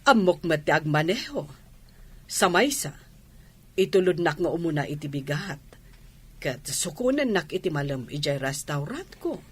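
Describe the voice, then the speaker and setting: An actress with an Ilocano Filipino accent playing a trusting, doting wife and restaurant owner in a radio drama. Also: female voice, deep voice, very animated, normal speed, husky, heavily acted. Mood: calm, reassuring. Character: trusting wife who owns a restaurant, doting on younger lover.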